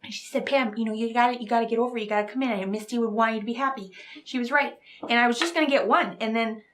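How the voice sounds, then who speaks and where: A woman playing a nervous, rambling and earnest romance novelist in an improvised comedy interview, halting and trailing off. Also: fairly high pitch, a bit bright, a little hiss or hum, lightly acted. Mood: earnest, chatty. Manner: flustered, halting, chatty, trailing off.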